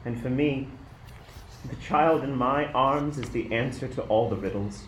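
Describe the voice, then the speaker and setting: A man's voice: mid-range voice, lightly acted. Man playing solemn Mary in an echoey room. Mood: humble, earnest. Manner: solemn, measured, reverent. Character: Mary, humble, devout young mother of Jesus. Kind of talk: amateur radio play acting. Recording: echoey room with background noise.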